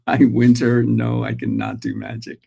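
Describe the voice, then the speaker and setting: A middle-aged Australian man hosting a casual morning livestream, thinking aloud with pauses and restarts while greeting his viewers. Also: mid-range voice, sing-song, creaky, mellow, breathy edge. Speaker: host.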